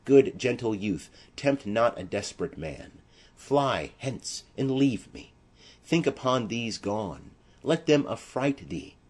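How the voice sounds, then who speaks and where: A man playing a desperate, savage young exiled lover in a tragedy audiobook, declaiming gravely and with menace. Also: medium pitch, animated, slow, a little mellow. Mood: desperate pleading. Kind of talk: classical tragedy audiobook acting.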